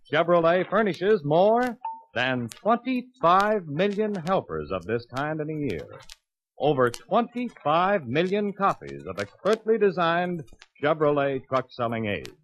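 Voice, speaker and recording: An American man narrates a 1950s sales training filmstrip in a higher-pitched, very lively voice. His delivery is formal, emphatic and fully scripted, like an announcer. The old soundtrack has faint noise and clicks. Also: highs cut off.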